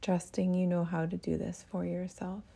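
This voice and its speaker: A woman leading a guided meditation as a teacher, speaking in a gentle, soothing manner with breathy phrase endings. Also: fairly low pitch, little pitch movement, moderate pace.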